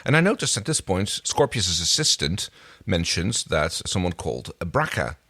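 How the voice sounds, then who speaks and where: A man's voice: sits low, big pitch swings, moderate pace, sharp tone, breathy edge. A man co-hosting a podcast, casually recapping a television episode. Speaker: podcast co-host.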